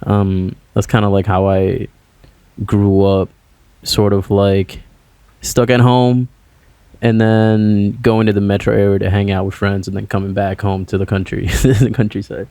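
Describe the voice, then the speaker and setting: A man's voice: on the low side, level tone, a little slow, warm tone, no echo. A young man from Puerto Rico, a musician guest chatting loosely in an interview with lots of "like" fillers. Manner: loose, rambling, heavy on "like" fillers. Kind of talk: casual interview chat.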